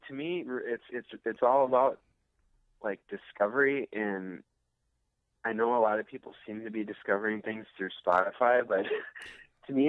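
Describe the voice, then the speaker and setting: An American man in a casual interview, talking reflectively and haltingly as he searches for his words. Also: on the low side, dry recording, narrow, tinny audio. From Minnesota, United States. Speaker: musician, interview guest.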